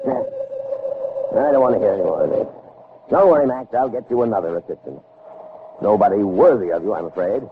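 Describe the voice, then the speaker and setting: An actor playing a proud, obsessive older scientist in a 1960s radio drama, grandiose and self-satisfied in manner. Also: male voice, lowish voice, animated, fairly quick, pauses often, narrow, tinny audio. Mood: dismissive. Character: proud, obsessive older male scientist devoted to his machine. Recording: noisy, band-limited vintage radio recording.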